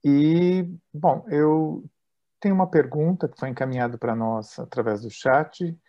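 A man's voice: fairly high pitch, measured pace. A Brazilian man moderating an online academic debate, polite and conversational with some hesitation, over a video call. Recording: dull, lo-fi video call audio.

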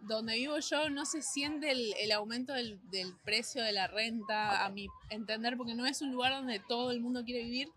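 Bright-voiced woman, thoughtful answers. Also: fairly high pitch, fairly even intonation, moderate pace, few pauses. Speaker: interviewee. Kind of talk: casual interview answers.

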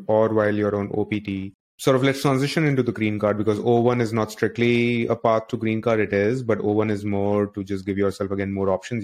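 A man's voice: fairly low pitch, a little mellow. A man hosting a podcast interview in a conversational style.

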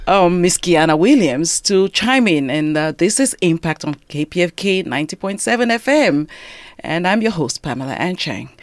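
A woman's voice: fairly low pitch, expressive, moderate pace, no room sound, clean studio sound. A woman hosting a radio talk show panel, steering the discussion and affirming guests with short interjections. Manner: frequent short affirmations while others speak. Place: radio studio.

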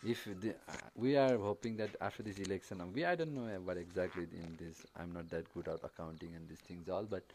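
A man from Nepal speaks English with a Nepali accent, his voice sitting a little low. He talks in a halting, casual way, full of restarts and fillers. Faint background noise sits behind him. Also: darkish tone, rough recording. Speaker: interviewee, paraglider. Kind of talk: casual conversation.

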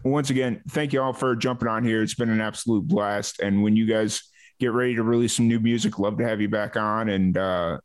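A man hosting a casual music interview, halting as he talks and often repeating words. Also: lowish voice, steady tone. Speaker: host.

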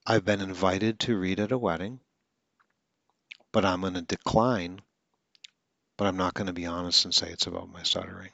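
A very deep-voiced man talks through a coaching podcast in an earnest way, with occasional stutters and restarts. The sound is dry and close, with a slightly dull, lo-fi quality. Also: steady tone, moderate pace, gravelly, long pauses, a bit bright, dry recording. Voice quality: stutters now and then. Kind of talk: instructional podcast monologue.

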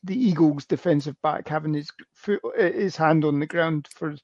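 A man's voice: fairly high pitch, moderate pace, darkish tone, dry recording, dull audio. A man co-hosting a fan podcast, talking football in a rambling, casual way with many fillers. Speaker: podcast co-host. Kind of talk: casual sports chat.

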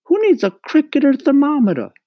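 A man's voice: very high voice, huge pitch swings, brisk, warm tone, dry recording, clean studio sound, pitched above the performer's own voice, full character voice. A man voicing a stern, scornful mother in a storybook, scolding emphatically in stilted immigrant phrasing. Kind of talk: acted storybook reading.

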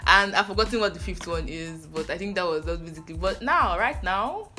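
A woman's voice: lowish voice, lively intonation, brisk, loud music behind the voice. A young woman co-hosting a casual chat, teasing and giggling. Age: young adult.

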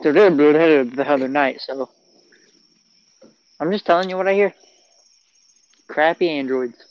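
A man's voice: fairly high pitch, measured pace, clear, resonant tone. A young man casually teasing his friends as a podcast co-host over an online call. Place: remote voice call. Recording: dull lo-fi online call audio.

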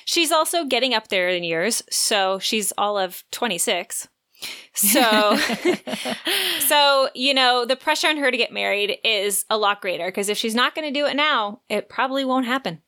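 Woman, bright crisp-edged voice, slightly high pitch, book podcast co-host, part chatty, part read aloud. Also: expressive, unhurried.

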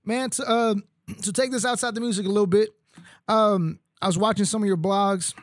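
A man in his thirties from Mexico, hosting a podcast, talking in a quick, joking way with lots of swearing; Spanish was his first language. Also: sits high, fairly even intonation, no room sound, clean studio sound. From Reynosa, Mexico. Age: 30s. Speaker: podcast host. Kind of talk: casual podcast interview.

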